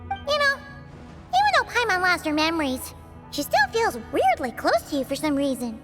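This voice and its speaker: A woman voicing a tiny excitable girl companion in a video game, chirpy and calling herself by name. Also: extremely high pitch, normal speed, full character voice. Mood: disappointed. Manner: chirpy, refers to herself in third person. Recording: game cutscene with music.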